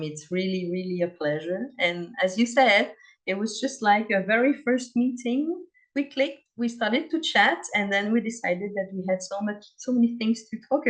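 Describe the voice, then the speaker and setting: A woman from Belgium with a Belgian French accent, giving a friendly online presentation over a video call. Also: mid-range voice. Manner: friendly, light laughs between points. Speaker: guest speaker. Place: home in Portugal.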